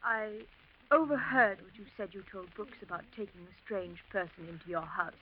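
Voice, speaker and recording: A woman acting a poised, quietly bitter wife in a 1940s radio drama, composed and pointed. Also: mid-range voice, moderate pace, lightly acted. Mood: cool, reproachful. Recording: tinny, hissy vintage radio recording.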